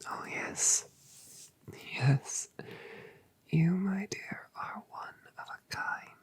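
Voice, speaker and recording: A woman's voice: on the low side, extremely slow, full character voice. Woman whispering as a doting mother dragoness. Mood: tender. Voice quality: soft whisper, breathy. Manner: cooing, affectionate, asks gentle questions. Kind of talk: whispered ASMR roleplay.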